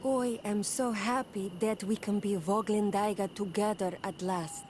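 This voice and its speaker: A woman's voice: medium pitch, steady tone, a little slow, darkish tone, slight background noise, natural voice. An actress playing a tender, devoted and reassuring young woman in a video game cutscene. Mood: happy.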